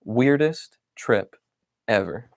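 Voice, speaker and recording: Un lapin en peluche grognon et exaspéré, joué par un homme dans un livre d'images lu à voix haute, la voix montant quand il se plaint, enregistrée de près dans un son sec, sans écho. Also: male voice, medium pitch, lively intonation, slow pace, creaky, no room sound. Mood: exasperated. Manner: exasperated complaining diary reading. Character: grumpy put-upon stuffed bunny, male.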